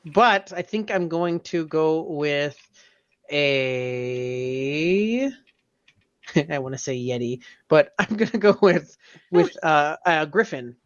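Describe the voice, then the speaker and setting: A man's voice: on the high side, animated, takes it slowly, no echo. A man co-hosting a casual podcast, bantering playfully, recorded on a dull lo-fi mic. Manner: playful, joking. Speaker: podcast co-host.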